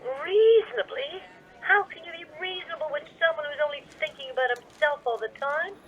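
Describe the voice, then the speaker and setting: A woman's voice: sits high, a little fast. A woman playing a petulant, exasperated teenage daughter complaining over the telephone in a radio play. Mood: exasperated, whiny. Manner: whiny, complaining. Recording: tinny phone line.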